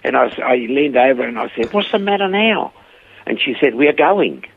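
A man's voice: medium pitch, brisk, vocal fry, slight background noise. An older man from Switzerland with a Swiss accent, telling a story as a radio interview guest and acting out the quoted voices. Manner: lively storyteller who acts out the quoted voices. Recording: narrow phone-like radio sound.

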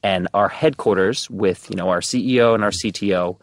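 A man from New Jersey with a New Jersey accent, a guest on a casual podcast conversation, talking in animated, energetic bursts. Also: low voice, fairly quick, dry recording. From New Jersey, USA. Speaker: guest, startup team member.

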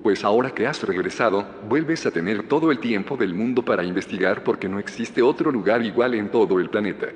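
An actor with a Spanish accent from Spain playing a calm, persuasive middle-aged founder in an audio drama. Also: male voice, fairly low pitch, brisk, few pauses, natural voice. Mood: calm, assured. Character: calm, persuasive male founder of a secretive community. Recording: long reverb with music underneath.

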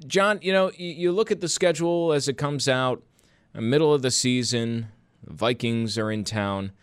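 A man's voice: medium pitch, moderate pace, clean tone, dry recording. A man hosting radio news in a conversational style, close on a studio microphone.

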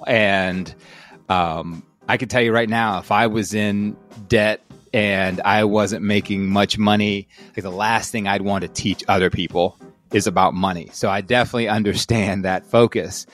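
A man hosts an interview podcast with lively enthusiasm, speaking in a fairly low register. He turns to the audience to frame each question for his guest. Faint music plays underneath. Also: moderate pace. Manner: enthusiastic, addresses listeners directly. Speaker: podcast host. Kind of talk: interview hosting.

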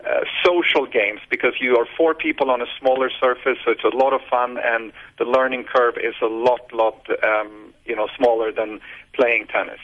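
A Swedish-accented man talks on a radio show, his voice sitting at an ordinary middle pitch. He pauses a lot and restarts his phrases. The audio comes through dull and muffled, like a phone line. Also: steady tone. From Sweden. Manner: hesitant, many fillers and restarts. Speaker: guest, sports businessman. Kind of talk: radio interview answers.

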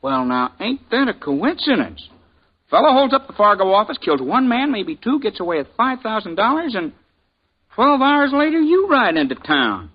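An actor playing a jovial, talkative frontier blacksmith with a folksy drawl in a 1950s radio drama. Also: male voice, high-pitched, very animated, moderate pace, slightly rough, exaggerated voice. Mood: curious. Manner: folksy drawl, chuckling, nosy. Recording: dull old radio broadcast.

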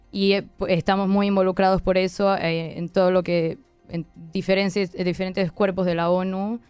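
A woman in her thirties from Argentina, with an accent mixing Argentine and Spanish, explaining her work as a guest lawyer in measured, hesitant answers. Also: lowish voice, a little mellow, clear, resonant tone, no echo. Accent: mixed Argentine and Spanish. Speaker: interview guest, human rights lawyer.

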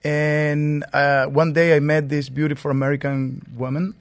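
A man from Venezuela, a chef guest in a radio studio, telling his story in a relaxed way in English. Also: fairly high pitch, moderate pace, no echo. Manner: relaxed storytelling, non-native phrasing. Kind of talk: interview answers.

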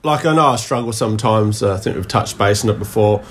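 A young father, talking things over in a measured, reflective way with his partner. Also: lowish voice. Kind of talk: casual couple chat.

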